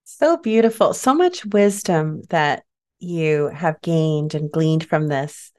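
A woman's voice: deep voice, slow pace, a little mellow. A woman hosting a podcast, affirming her guest and asking loose, rambling questions. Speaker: podcast host. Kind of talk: podcast interview.

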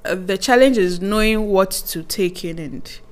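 A woman, chatting as a podcast guest and acting out what people say to her in quick asides. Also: mid-range voice. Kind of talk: casual interview chat.

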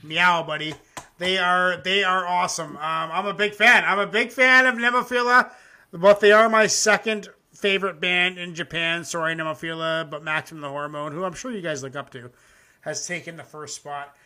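A man with a high, bright voice hosts a live music reaction stream. He talks loosely and profanely, packed with fillers, and now and then reads out his own joking poems. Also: steady tone, moderate pace. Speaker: host of a music reaction show. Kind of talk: casual live-stream chat.

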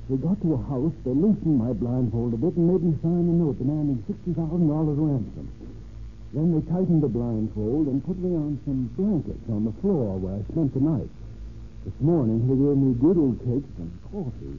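An American actor playing a frightened, shaken kidnap victim in an old radio drama, earnest and halting, on a noisy old recording. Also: male voice, medium pitch, lively intonation, phone-quality sound, lightly acted. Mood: weary recounting. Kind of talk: 1930s-40s American radio drama acting.

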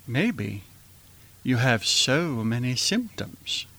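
A man playing a fond, mild elderly gentleman father in a radio play, with a musing, hesitant manner. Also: mid-range voice, sing-song, extremely slow, gravelly, heavily acted. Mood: tender, probing. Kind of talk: radio theater acting.

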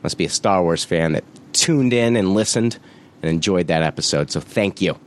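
A fairly low-pitched man hosts a podcast in an easygoing, chatty way. He reads listener reviews aloud, laughs often and drops the odd swear word. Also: moderate pace. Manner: laid-back, laughs often, swears casually. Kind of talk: casual podcast chat.

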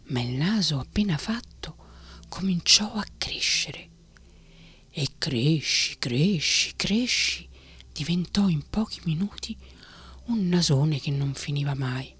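A woman reading a children's story aloud in a soft, unhurried whisper. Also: low-pitched, lively intonation, breathy edge.

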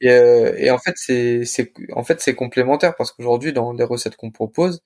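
A young man telling his story casually as an interview guest, in informal, halting phrases. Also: mid-range voice, a little mellow. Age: young adult. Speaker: restaurant co-founder, interview guest.

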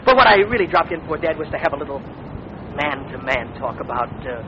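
Casting: self-important eager youngster, high-pitched and boastful, vintage radio comedy, muffled noisy recording. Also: male voice, big pitch swings, brisk. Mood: eager, flustered. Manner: boastful, pompous, stammering when flustered. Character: cocky, self-important eager young man playing big executive. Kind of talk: 1940s radio comedy acting.

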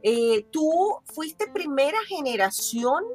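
High-pitched woman talk show host, effusive and complimentary. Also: big pitch swings, slow pace, over music. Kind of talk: casual interview chat.

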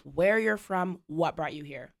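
A woman hosting a casual podcast interview, upbeat and enthusiastic with quick reactions. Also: low-pitched, lively intonation, gravelly. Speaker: podcast host.

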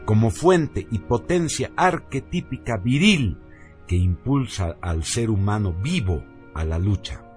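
Deep-voiced man in solemn, swooping narration. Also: expressive, measured pace, a little mellow. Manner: emphatic, declamatory. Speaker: narrator of a radio programme. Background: background music.

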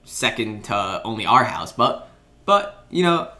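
A young man with a bright, forceful voice co-hosts a podcast in a casual chat with his sister. He rambles, restarting sentences and piling on fillers as he tells stories. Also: fairly low pitch, sing-song, unhurried. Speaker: podcast co-host.

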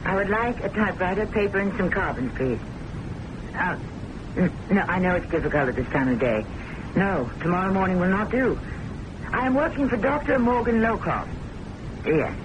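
A woman's voice: medium pitch, lively intonation, moderate pace, noisy background, natural voice. An actress playing an anxious, prim woman secretary who speaks urgently and insistently in an old-time radio drama. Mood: brisk, demanding. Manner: urgent, emphatic, easily agitated.

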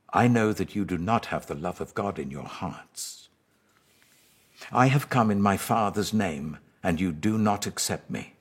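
Guy doing a solemn british holy teacher from the bible, really low husky voice, grave and commanding, big swells and drops. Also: heavily acted.

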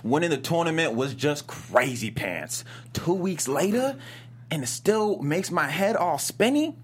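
A man playing an excitable, cocky twenty-year-old gamer in a table read, slangy and boastful. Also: fairly high pitch, measured pace, husky, exaggerated voice. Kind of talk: pilot script table read.

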